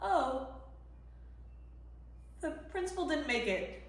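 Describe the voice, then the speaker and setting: Frantic mother played by a woman, stage monologue, high-pitched and emphatic, halting with heavy pauses, in an echoing room. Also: lively intonation, exaggerated voice. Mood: flustered, dejected. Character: frantic mother of a middle schooler, desperate and grieving. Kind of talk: dramatic interpretation monologue. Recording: echoey room, voice ringing off walls.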